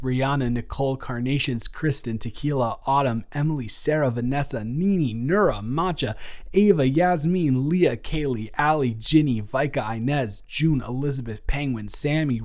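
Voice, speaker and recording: A man's voice: mid-range voice, a little mellow. A man, host of an audio channel, reading out a list of thanks and announcements to supporters. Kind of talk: reading supporter shout-outs.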